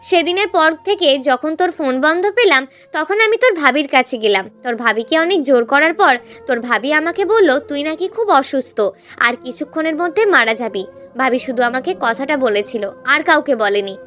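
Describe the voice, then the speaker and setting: A woman's voice: sits high, animated, normal speed, fairly bright tone, faint music behind. A woman voicing a loyal, concerned young man in emotional story dialogue, earnest and familiar.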